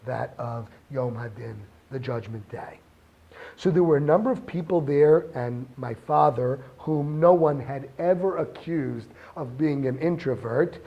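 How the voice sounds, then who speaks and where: A man's voice: on the high side, darkish tone. Earnest man telling a family story. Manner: earnest storytelling, sprinkled with Hebrew and Yiddish terms. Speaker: speaker giving a weekly Torah talk. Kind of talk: spoken Torah lesson with personal story.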